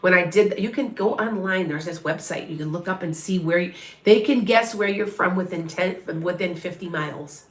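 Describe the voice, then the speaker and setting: A woman's voice: on the low side, moderate pace, echoey room. A middle-aged woman from Wisconsin with an Upper Midwest accent, a psychic medium rambling through a live reading and chatting with her viewers. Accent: Upper Midwest, northwest of Chicago. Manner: rambling, chatty, many restarts and asides.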